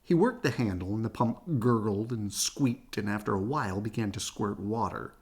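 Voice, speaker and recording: A man narrates a children's picture book as a read-aloud. His voice has a creaky, gravelly edge and moves up and down expressively through the sentences. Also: fairly low pitch, expressive, normal speed. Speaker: children's storybook reader.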